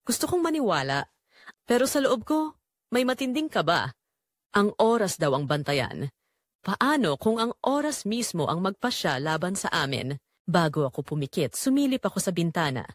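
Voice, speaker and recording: A woman's voice: fairly low pitch, sing-song, moderate pace, dry recording, studio quality. A woman narrating a dramatic first-person story in an emotive way.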